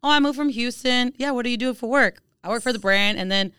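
A woman's voice: on the high side, quick pace, sharp tone, smooth, no echo, clean studio sound. A woman talking casually as a guest on a podcast. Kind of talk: casual chat.

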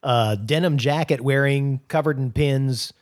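A man from the US co-hosting a music podcast, loud and jokey, laughing often. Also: mid-range voice, a little slow. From United States. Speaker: podcast co-host. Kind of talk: casual chat.

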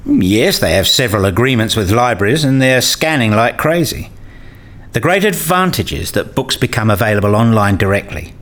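A man's voice: lowish voice, very animated, moderate pace, a bit bright. A man acting in a scripted radio play as an eager, opinionated character who explains and argues his ideas. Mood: earnest, argumentative.

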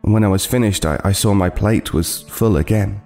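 An actor playing an uneasy homeless man who narrates his horror tale in a halting, brooding way. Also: fairly low pitch, a little mellow, natural voice. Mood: haunted, halting. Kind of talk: dramatic first-person horror narration. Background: music.

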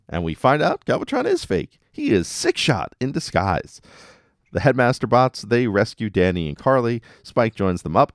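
A man's voice: lowish voice, no echo, studio quality. A man hosting a podcast, casually recapping episodes with wry, jokey asides. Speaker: podcast host.

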